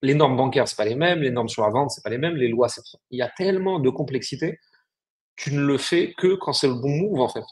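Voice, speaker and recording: A man talks business on a podcast. He speaks quickly, with a mid-pitched voice, and his explanations are blunt and full of slang. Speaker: podcast co-host, agency founder. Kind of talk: casual business chat.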